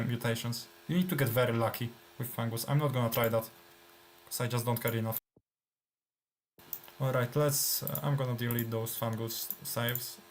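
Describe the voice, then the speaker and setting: A man with a Polish accent talks through his gameplay at a relaxed, middling pitch. He thinks aloud, trailing off with fillers and restarts. Faint background noise and keyboard typing sit under his voice. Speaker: gameplay streamer. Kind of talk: casual live gameplay commentary.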